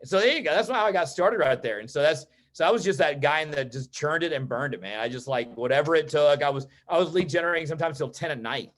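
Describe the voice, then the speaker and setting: An American man from Texas tells personal stories as a guest in an online meeting, in an informal, animated way that often acts out dialogue. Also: fairly high pitch, quick pace, few pauses. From Texas, United States. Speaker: interview guest, real estate agent and coach.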